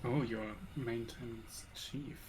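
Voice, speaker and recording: A man's voice: mid-range voice, steady tone, takes it slowly, noisy background. A man co-hosting a game stream, chatting casually over the gameplay.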